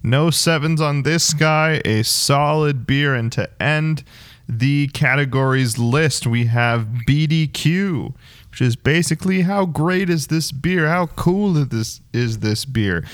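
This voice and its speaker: A man's voice: a little high, slow pace, no echo, studio quality. A man co-hosting a casual chat, informal and agreeable, with encouraging remarks for his partner. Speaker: co-host.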